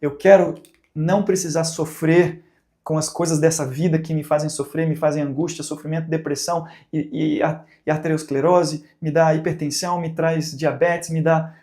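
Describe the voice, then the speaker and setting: Brazilian man teaching with a mellow voice. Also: on the high side, little pitch movement, moderate pace. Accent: Brazilian Portuguese. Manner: conversational, asks rhetorical questions, acts out examples. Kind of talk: recorded teaching talk.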